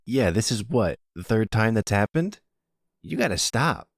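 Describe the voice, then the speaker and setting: Guy doing a young mob kid, lighter husky voice, chatty n casual, audio roleplay. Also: on the low side, big pitch swings, a little slow, dry recording, studio quality, pitched above the performer's own voice, heavily acted. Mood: teasing concern. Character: young male mob underling, easygoing and talkative.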